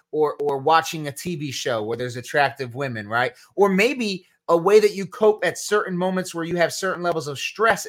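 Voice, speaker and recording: A man's voice: a little high, fairly bright tone. Casual New Jersey man answering chat questions. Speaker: podcast host.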